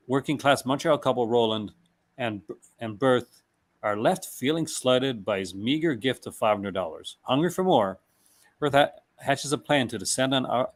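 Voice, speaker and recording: A man hosting a casual chat, reading out movie descriptions with stumbles and restarts and laughing often. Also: mid-range voice. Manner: reads product blurbs aloud, stumbling and restarting, laughs often. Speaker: host.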